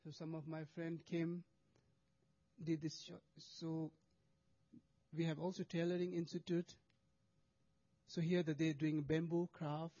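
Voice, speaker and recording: A man gives a talk in hesitant English, restarting words and filling pauses. His voice sits a little high and sounds mellow. The recording is slightly dull and lo-fi. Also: little pitch movement. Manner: halting, many restarts and fillers, non-native English. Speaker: monastic speaker presenting charity work. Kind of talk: presentation to an audience with video.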